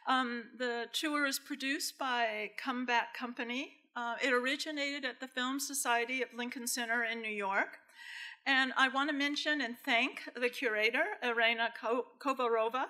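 A bright, slightly high-voiced woman introduces a guest at a lectern microphone. She talks haltingly, with many pauses and fillers. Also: steady tone. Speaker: film programmer introducing a guest. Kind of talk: formal introduction to an audience. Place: darkened cinema stage at a lectern.

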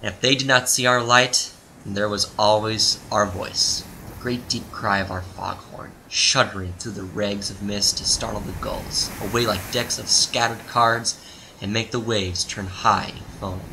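Guy narrating a moody audio story, kinda deep but bright and forceful, bit of background noise. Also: fairly even intonation, a little slow, low-quality recording. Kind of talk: dramatic scripted narration of a story.

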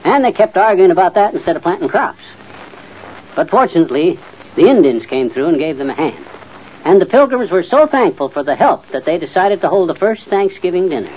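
An actor playing a blustery, folksy, know-it-all middle-aged husband in an old-time radio comedy. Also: male voice, sits high, very animated, brisk, darkish tone, breathy edge, heavily acted. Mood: folksy, explaining. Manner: folksy, boastful, muttering and sputtering. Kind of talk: 1940s-50s radio comedy acting. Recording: muffled old radio recording.

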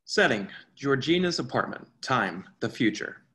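A man's voice: medium pitch, big pitch swings, moderate pace, pushed and bright. A man reading stage directions as scripted narration for a play reading on a video call. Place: indoors at home, on a video call.

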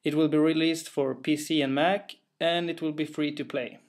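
A young developer from Sweden, his English carrying a clear Swedish accent, talks through his own game with an untheatrical, matter-of-fact delivery. His voice sits a touch higher than most men's, and he leans on small fillers and careful phrasing as he explains. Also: fairly even intonation, normal speed. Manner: careful, plain, a little hesitant with fillers. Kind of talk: narrated gameplay walkthrough.

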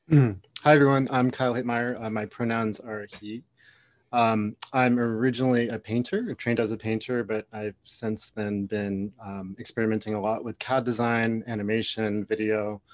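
A man's voice: lowish voice, little pitch movement, normal speed, dry recording. A man introducing himself as an artist on a video call from home, a little hesitant. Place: home room with plants.

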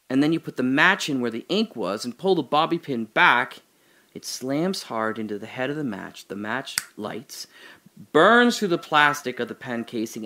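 A man's voice: medium pitch, pushed and bright. A lone prisoner in a cell questions who he is and what his memories mean, played by an actor. He talks in an audio drama monologue, musing and searching, wry one moment and earnest the next, with a mid-range male voice. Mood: recalling. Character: man alone in a holding cell, doubting his memories.

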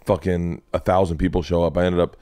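A man in his forties hosting a podcast, telling stories in an animated way and swearing freely, sitting in a studio lounge at a boom mic. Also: fairly quick, no echo. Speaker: podcast host. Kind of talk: casual podcast storytelling. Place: studio lounge with leather couches. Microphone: boom mic on arm.

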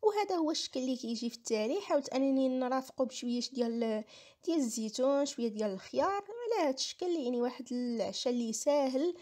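A woman's voice: high voice, lively intonation, rapid-fire, warm tone. A woman with a Moroccan accent giving a casual recipe voice-over, explaining each step and repeating herself. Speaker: home cooking vlogger.

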